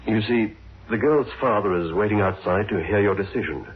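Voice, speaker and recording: Grave husky male doctor in old radio. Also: lowish voice. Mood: earnest, pleading. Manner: formal, measured, deliberate. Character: grave, earnest hospital doctor, pressing and reproachful. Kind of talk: 1960s radio drama acting. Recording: thin, phone-like old radio recording.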